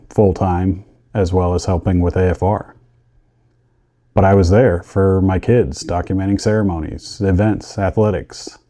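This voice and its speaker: A middle-aged man delivers scripted personal storytelling. His voice sits low, with a very dark, relaxed tone. He speaks earnestly, in short, deliberate phrases. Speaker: vlogger telling his own story.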